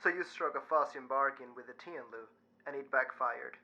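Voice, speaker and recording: An actor playing a wry, anxious mortuary worker in an audio drama, measured in delivery, heard over a call. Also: male voice, medium pitch, pushed and bright. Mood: wry, surprised. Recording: dull, band-limited call sound.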